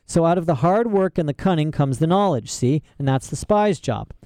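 A man's voice: a little high, animated, moderate pace, darkish tone, no echo, voice pushed up. A man voicing an eager, curious young girl in a storybook reading, with rustic grammar and questioning tone.

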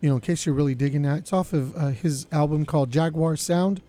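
A man hosting a college radio show, his voice slightly raspy, chatting casually with lots of fillers. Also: on the high side, fast talker, warm tone. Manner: laid-back, lots of fillers. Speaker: radio DJ host.

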